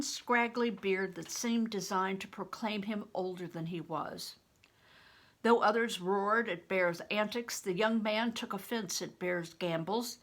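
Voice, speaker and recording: Slow-paced, bright-voiced older woman reading. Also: mid-range voice. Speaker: grandmother reading aloud. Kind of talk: storybook narration.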